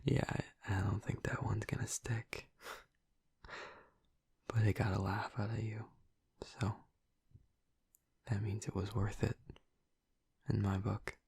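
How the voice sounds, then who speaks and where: Gentle, awkward male vampire roommate, acted by a man: deep, gravelly, soft-spoken and hesitant, intimate roleplay delivery up close. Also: flat delivery, dry recording, full character voice. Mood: playful, fond. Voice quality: soft, breathy, husky. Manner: hesitant, halting, tender. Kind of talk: intimate audio roleplay addressed to the listener. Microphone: close, dry microphone.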